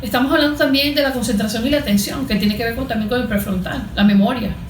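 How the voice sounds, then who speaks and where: A Venezuelan woman with a fairly high voice gives explanatory interview answers. She teaches as she talks, posing questions and then answering them. The room adds a clear echo around her voice. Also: fast. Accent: Venezuelan Spanish. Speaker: guest therapist being interviewed. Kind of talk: explanatory interview talk with everyday examples. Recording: roomy, echoing room sound.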